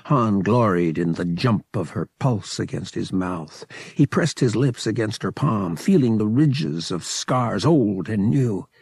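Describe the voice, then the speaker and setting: A man's voice: lowish voice, animated, unhurried, creaky, hardly any pauses, a little mellow, slightly rough, no room sound, studio quality. A man narrating fiction as a scripted, dramatic audiobook reading.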